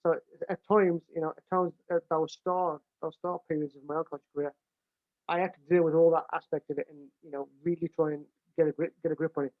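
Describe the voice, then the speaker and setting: A man's voice: a little high, level tone, quick pace, no echo. British male coach, big voice, plain-spoken, repeats himself, dry and thin phone-quality sound. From United Kingdom. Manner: restarts and repeats words often, plain-spoken. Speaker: American football coach. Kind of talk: casual explanatory talk. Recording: dry, tinny phone-like line.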